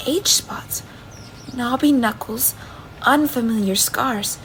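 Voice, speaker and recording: An actress playing an earnest, bewildered woman in her twenties in an audio drama, speaking in a hesitant, deliberate manner. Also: on the high side, a little hiss or hum, poor audio. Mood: haunted, hushed. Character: bewildered, earnest young woman trapped in a stranger's body. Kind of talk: audio drama acting with first-person narration.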